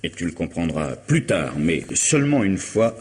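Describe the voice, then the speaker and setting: A man acting in a French radio mystery play as a measured, guarded lawyer, speaking deliberately and formally to a friend with quiet insistence. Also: low-pitched, sing-song, breathy edge, exaggerated voice. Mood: firm, tense.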